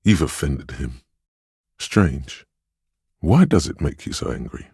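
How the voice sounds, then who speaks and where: A man playing the gentle, perceptive inner voice of empathy in a role-playing game, narrating in a measured, intimate, solemn and literary way. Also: lively intonation, no echo, studio quality, heavily acted. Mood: tender, perceptive. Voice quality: very deep, gravelly, husky.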